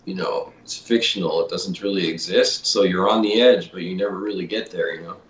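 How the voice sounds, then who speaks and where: A man, a guitarist guest in an interview, talking in a relaxed, easygoing way. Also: low voice, brisk, reverberant, slight background noise, poor audio. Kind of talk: casual interview.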